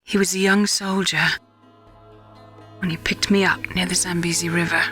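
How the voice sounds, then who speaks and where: An actress playing a lonely, sorrowful woman scientist in a video game, confessing bitter secrets in a measured, halting way. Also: lowish voice, level tone, sharp tone. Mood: bitter, grieving. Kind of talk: dramatic video game voice acting. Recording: prominent music under the voice. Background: music.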